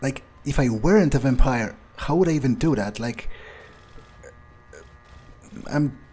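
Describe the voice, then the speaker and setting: A man doing an improvised in-character gameplay monologue, thinking aloud in a halting, musing way. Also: medium pitch, animated, warm tone, husky. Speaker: gamer playing in character.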